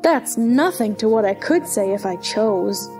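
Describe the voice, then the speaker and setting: A moralizing, fawning duchess, played by a woman in an audio play. She speaks rather high, in wordy, agreeable maxims, with faint music behind her. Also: lively intonation, unhurried, a little mellow. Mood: smugly agreeable.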